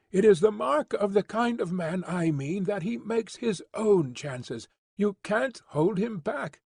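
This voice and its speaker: A man's voice: high voice, gravelly, darkish tone, breathy edge, no room sound, studio quality, exaggerated voice. A man reading an audiobook, voicing a young woman who talks in an earnest, lofty, lightly chiding way. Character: earnest idealistic young woman, gently scolding.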